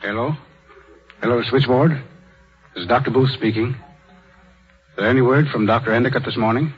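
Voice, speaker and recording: Man to play worried colleague physician, mid-pitched, 1930s radio drama, dull vintage broadcast. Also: normal speed, slightly rough, natural voice. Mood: businesslike. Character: cautious, worried male hospital doctor. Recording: muffled 1930s radio broadcast, music bed.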